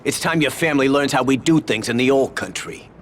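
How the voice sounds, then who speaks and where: A man's voice: medium pitch, fairly quick, a little hiss or hum, close to an everyday voice. A man playing a menacing, contemptuous mafia consigliere in a crime game, taunting and dramatic. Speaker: video game character actor. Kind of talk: cinematic crime drama acting.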